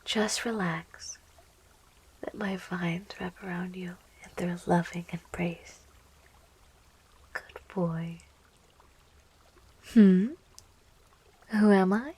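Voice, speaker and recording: A woman voicing a sweet, clingy yandere plant girl in a soft, coaxing whisper for an ASMR roleplay. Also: on the low side, steady tone, a little hiss or hum. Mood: coaxing, soothing, then excited, sweet. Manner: coaxing, cooing, giggly, slow.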